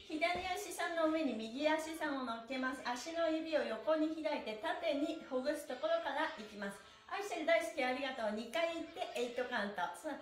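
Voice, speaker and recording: A middle-aged woman hosting a stretching class, giving cheerful, exclamatory and polite instruction with playful wording to a livestream audience. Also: high voice. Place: small bare indoor room with exercise mat. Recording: echoey small room.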